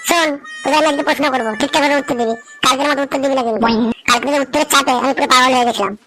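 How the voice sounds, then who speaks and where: A woman's voice: sits high, racing, a bit bright, soft background music, rough recording. A woman voicing an exasperated cartoon schoolteacher who scolds her pupils. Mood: scolding.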